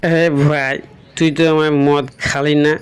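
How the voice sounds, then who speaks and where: A man's voice: fairly high pitch, flat delivery, normal speed, background noise, natural voice. A man voicing a foolish, complaining drunkard in a comic cartoon dub, coarse and pleading. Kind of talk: comic Bengali cartoon dubbing.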